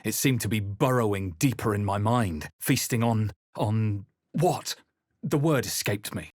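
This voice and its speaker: A man voicing a brooding adventurer who narrates his memories gravely in a fantasy video game, husky, with dramatic pauses. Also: lowish voice, a little mellow, no echo, studio quality. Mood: solemn, suspenseful.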